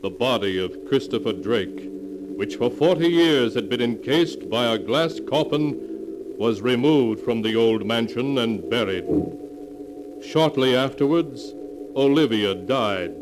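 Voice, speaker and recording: Male announcer for a 1940s mystery anthology; grave, drawn-out, theatrical delivery over clearly hissy vintage audio. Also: mid-range voice, animated. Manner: solemn, theatrical, measured. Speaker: announcer and narrator. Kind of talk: 1940s radio horror narration, scripted. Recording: noisy old 1940s radio recording.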